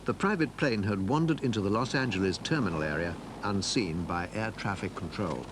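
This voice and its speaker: A man's voice: on the low side, brisk, noisy background. A man reading scripted narration for a documentary.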